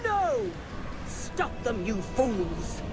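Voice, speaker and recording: A haughty villainess has a fairly high voice that rises and falls theatrically. She is precise and imperious, an exacting servant of evil, with game music behind her. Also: huge pitch swings, very slow, heavily acted. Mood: harsh, commanding. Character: haughty, exacting villainous lady scientist serving a lord. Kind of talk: video game cinematic acting.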